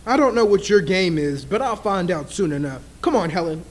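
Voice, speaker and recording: A man's voice: high-pitched, animated, brisk. A man playing a suspicious, blustering husband in an old-time radio play, demanding answers while protective of his wife. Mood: indignant.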